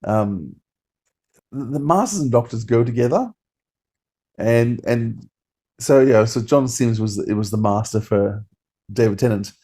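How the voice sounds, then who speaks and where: A man's voice: medium pitch, lively intonation, measured pace, long pauses, mellow, breathy edge. A man hosting a podcast, talking casually through a review. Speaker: podcast host. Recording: music playing behind.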